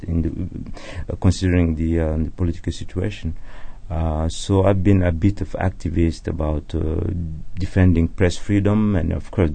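A man from Chad whose first language is Arabic, a journalist speaking as a guest in a measured way, often pausing to search for words. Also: sits low, vocal fry, dark tone. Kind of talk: casual explanatory talk.

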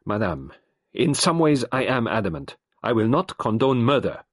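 A man's voice: medium pitch, sing-song, moderate pace, breathy edge, dry recording, clean studio sound, pitched above the performer's own voice. A man reading the part of a stern, precise elderly male detective who speaks formally and insistently.

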